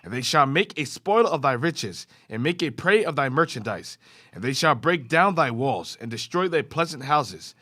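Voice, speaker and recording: A man reading scripture aloud in a class, with a steady, formal reading cadence. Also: mid-range voice, normal speed.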